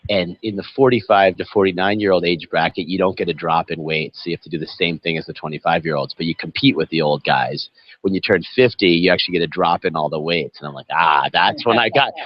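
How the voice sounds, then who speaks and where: A man's voice: deep voice, dry recording. A man in his forties moderating a panel, asking informal, stumbling questions. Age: 40s. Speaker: host. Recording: dull dry audio.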